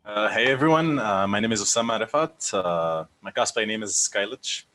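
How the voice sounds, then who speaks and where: A man from Egypt with a lowish but bright, forceful voice, pausing often on fillers. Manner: hesitant, many fillers. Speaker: contestant.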